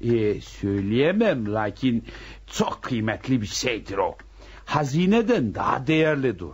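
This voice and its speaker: An actor playing a devout old village uncle in a radio drama, a folksy family elder who admonishes with pious phrases. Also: male voice, mid-range voice, sing-song, a little slow, slightly rough. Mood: folksy, earnest. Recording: dull lo-fi radio drama recording.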